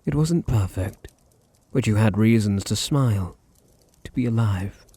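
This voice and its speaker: A man playing a possessive, teasing vampire master in an intimate ASMR roleplay, with a deep, husky British voice and a languid, condescending manner. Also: expressive, unhurried, mellow, no room sound. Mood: brooding. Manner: languid, condescending, purring endearments.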